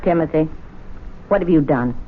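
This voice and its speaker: An actress playing a nagging, suspicious, foreboding wife in a 1970s radio drama. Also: female voice, deep voice, normal speed, a little hiss or hum, natural voice. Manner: scolding, wry, suspicious. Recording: tinny old radio broadcast.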